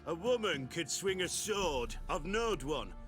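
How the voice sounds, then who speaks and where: A coarse, scoffing game henchman, bright and forceful in tone. He drags out his ungrammatical rustic talk at a slow pace. Faint music runs behind him. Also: on the high side, very animated. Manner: coarse, rustic nonstandard grammar. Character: crude, scoffing male drinking companion, rustic henchman. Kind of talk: dramatic game acting.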